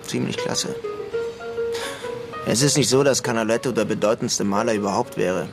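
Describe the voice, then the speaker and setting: An actor playing a melancholy young German emigrant painter in New York, understated and wry, in a radio drama. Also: male voice, on the low side, normal speed, creaky, music in the background. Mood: reflective. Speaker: protagonist and narrator of a radio crime drama. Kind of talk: German radio drama, introspective narration and dialogue.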